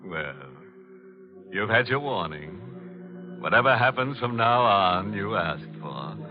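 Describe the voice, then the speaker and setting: Creepy old-time radio horror host, slow and swooping, gleefully morbid. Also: male voice, on the low side, expressive. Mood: mock ominous. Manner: mock-genteel, savoring grisly puns. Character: ghoulish, gleefully macabre male horror show host. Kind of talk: 1940s radio horror hosting. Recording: muffled 1940s radio recording, organ music.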